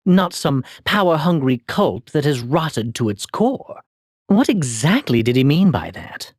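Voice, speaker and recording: A troubled young knight from a video game, earnest and formal. His breathy voice moves slowly and swings widely in pitch, halting with dramatic weight. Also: very animated, darkish tone, no room sound, clean studio sound, heavily acted. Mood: probing.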